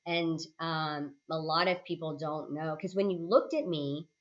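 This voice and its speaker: A woman in her late forties talks as an interview guest in a low-pitched voice. She is reflective and conversational, speaking in short phrases and checking in with the listener. The recording is a little dull and lo-fi. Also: measured pace. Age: late 40s. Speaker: podcast guest, senior healthcare leader. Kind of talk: conversational interview, personal storytelling.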